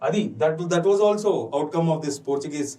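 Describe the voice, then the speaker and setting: Telugu indian guy teaching exam questions, kinda high voice, mixes english in slowly, echoey room. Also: mellow. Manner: switches between Telugu and English, slow deliberate English. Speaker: exam coaching history teacher. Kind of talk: lecture walking through exam questions. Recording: echoey room, distant mic.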